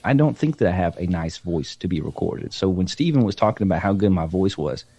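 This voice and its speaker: A middle-aged man, a podcast guest, telling stories in relaxed casual chat close to the mic and laughing often. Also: deep voice, brisk, breathy edge.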